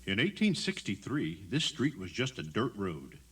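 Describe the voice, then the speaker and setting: A man's voice: on the low side, wildly swooping pitch, moderate pace, fairly bright tone, husky. A man narrating a scripted audio tour as a guide, in a conversational, emphatic storytelling manner.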